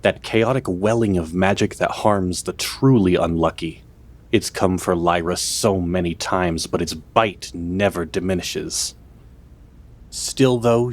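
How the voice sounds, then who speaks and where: A man narrating a scripted fantasy audio drama as its storyteller, in a measured, theatrical way. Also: low voice. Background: music.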